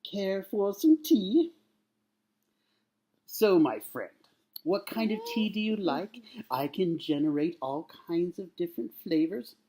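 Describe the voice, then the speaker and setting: A man's voice: high-pitched, sing-song, slow, mellow, pitched above the performer's own voice, exaggerated voice. A man playing an overdramatic, falsely friendly starship captain in a comic sci-fi monologue, with exaggerated delivery. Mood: patronizingly friendly. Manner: exaggerated, overacted.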